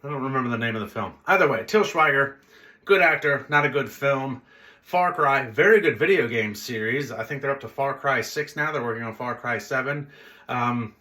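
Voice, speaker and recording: Bright-voiced man casually reviewing movies. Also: mid-range voice, moderate pace. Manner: blunt, conversational, rambling with tangents. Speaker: host of a movie review video channel. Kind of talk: casual unscripted film review commentary.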